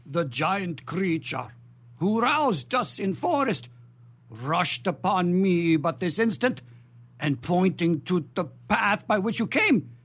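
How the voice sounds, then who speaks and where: A man puts on a frightened villager from a stage melodrama. The voice leaps high and swoops with alarm, husky and halting. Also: very animated, a bit bright, heavily acted. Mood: shaken. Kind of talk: melodramatic radio theatre acting.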